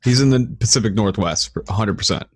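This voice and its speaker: A man's voice: fairly low pitch, fairly quick, a little hiss or hum. A man in casual comic banter, talking bluntly with plenty of swearing and slang. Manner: blunt, profane slacker talk.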